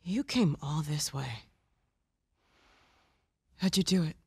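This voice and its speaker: Husky, resolute militia leader. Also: female voice, low-pitched, expressive, darkish tone, no echo, full character voice. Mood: subdued, weary. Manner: measured, firm. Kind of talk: naturalistic video game acting.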